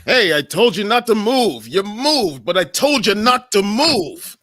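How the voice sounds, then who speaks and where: Guy playing irritable suave space smuggler, highish voice, lively, dry close sound, comedy read. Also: measured pace, no echo, heavily acted. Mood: bossy, deadpan. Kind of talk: comedic live table read of a film script.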